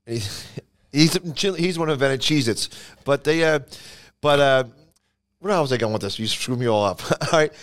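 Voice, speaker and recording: A man's voice: mid-range voice, moderate pace, smooth. A man with a Philadelphia accent co-hosting a podcast, rambling through jokey stories and losing his train of thought. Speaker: podcast co-host. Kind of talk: casual chat.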